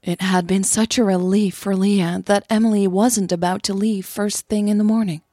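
A woman narrates an audio drama in a husky, slightly breathy voice. The recording is very dry, with no room around her words. She reads as a scripted storyteller. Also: medium pitch, fairly even intonation, moderate pace, warm tone, dry recording.

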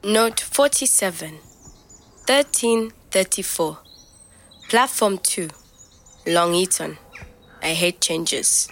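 Young migrant woman, introspective audio-drama diarist, unhurried, faint noise behind. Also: fairly low pitch, expressive, fairly bright tone, heavily acted. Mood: searching, melancholic. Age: young adult. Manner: measured, introspective, short fragmented phrases. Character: reflective young African migrant woman recording private night-time notes. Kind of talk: scripted dramatic monologue as audio diary.

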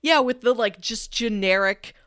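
A woman's voice: a little high, moderate pace, a bit bright, smooth, no echo, clean studio sound. A woman co-hosting a podcast, chatting casually about films. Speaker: podcast co-host. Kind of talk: casual film review chat.

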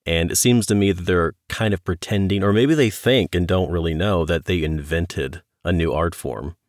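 Male podcast host, low voice, conversational interviewer, dry close-mic recording. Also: moderate pace, no echo.

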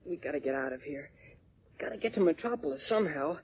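A male actor plays a feisty teenage boy in a vintage radio adventure. He is slangy, defiant and tough-talking, with a husky youthful voice. The old recording sounds muffled. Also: on the high side, expressive, fast. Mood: urgent, determined. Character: brash, feisty teenage boy cub reporter. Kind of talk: 1940s radio acting.

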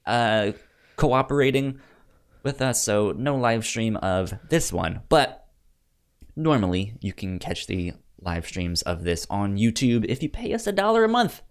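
A man's voice: fairly low pitch, sing-song, unhurried, smooth. A man co-hosting a podcast in casual, informal, conversational chat. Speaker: podcast co-host.

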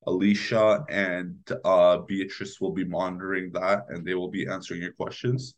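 A man's voice: low-pitched, flat delivery, moderate pace. A man, around his thirties, moderating an informal panel discussion as its host.

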